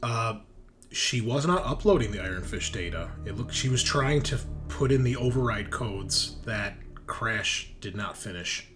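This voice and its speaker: A man's voice: lowish voice, lively intonation, unhurried, a bit bright, faint music behind. A man running a tabletop roleplaying game, narrating off the cuff in a casual, vividly descriptive way. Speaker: game master.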